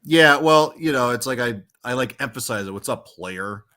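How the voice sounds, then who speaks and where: A man with a very deep voice, a rock singer guesting on a podcast, talking in a relaxed, rambling way with many restarts. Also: normal speed. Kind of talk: casual chat.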